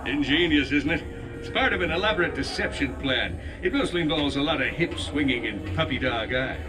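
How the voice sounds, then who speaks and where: An actor playing a pompous, vain, bumbling space adventurer in a video game cutscene, theatrical and self-important. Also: male voice, on the high side, lively intonation, a little fast, music in the background, lightly acted, rough recording.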